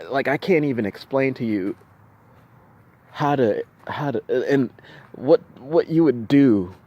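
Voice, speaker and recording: A man venting in an unscripted video monologue, halting and repeating himself, with insistent emphasis. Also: on the low side, a little mellow, highs cut off. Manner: halting, repetitive, with restarted phrases. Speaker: vlogger recounting personal experiences.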